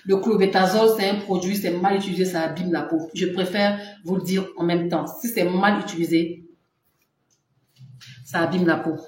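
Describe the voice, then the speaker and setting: A woman's voice: fairly low pitch, fairly even intonation, normal speed, a little mellow. A woman selling skin products on a live stream, chatting casually, laughing often and answering viewers' comments, with a runny nose. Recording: very echoey room with faint noise.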